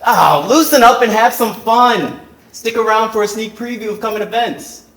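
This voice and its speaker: Actor doing a sly evasive fencer guy, high-ish voice, roomy stage sound. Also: normal speed, a bit bright. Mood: coy. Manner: coy, evasive. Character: smooth, mysterious male fencing rival. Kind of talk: stage play acting. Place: theater stage.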